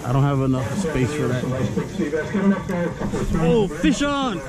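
A man fishing on an open boat at sea, talking in drawn-out, swearing exclamations picked up by a camera on deck. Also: a little high, sing-song. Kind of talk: excited casual banter on deck. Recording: loud wind and engine noise outdoors.

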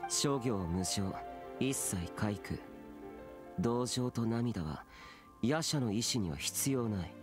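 A man's voice: medium pitch, animated, slow pace. A man playing a solemn, aloof young immortal demon slayer in a Japanese anime game, stern and terse. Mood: cold, disdainful. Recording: game dialogue over music.

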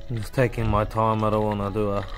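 A man's voice: on the low side, flat delivery, quick pace. A young man, a spearfishing vlogger who narrates his dives in a laid-back, slangy way and talks to his action camera while floating at sea. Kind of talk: voice-over narration and in-water commentary. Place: at sea, in the water, outdoors. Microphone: action camera on his head. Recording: music under the narration; water noise in the field.

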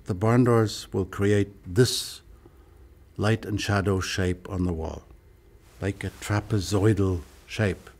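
An older man explains a lighting product on camera in a studio, showing it with his hands as he talks in a patient, measured way. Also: mid-range voice, mellow.

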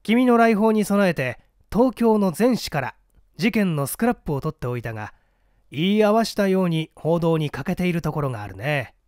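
A man voicing a condescending, animated scholar-detective. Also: high voice, moderate pace, dry recording, studio quality. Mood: genial, teasing. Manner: lecturing, slightly pompous. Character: shrewd, condescending male scholar-detective, methodical and talkative. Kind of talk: dramatic storybook reading of a mystery.

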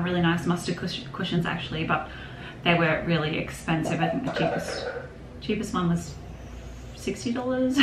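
A young woman with a lowish voice chats her way through a casual shopping haul, rambling and laughing easily. She sits at a desk in a home craft room, picked up by a camera a little way off. The room is echoey, with noise behind her. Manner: chatty, rambling, with frequent laughs and restarts. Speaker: vlogger.

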